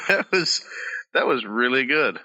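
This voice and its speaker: A man's voice: mid-range voice, highs cut off. A man co-hosting a podcast, bantering casually and laughing often. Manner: laughs often, quick and lively. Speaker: podcast co-host.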